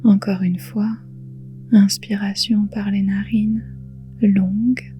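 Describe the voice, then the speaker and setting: A woman guiding a relaxation meditation in a soothing, hushed, breathy voice, leaving long pauses between phrases. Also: medium pitch, level tone, faint music behind. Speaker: yoga nidra guide.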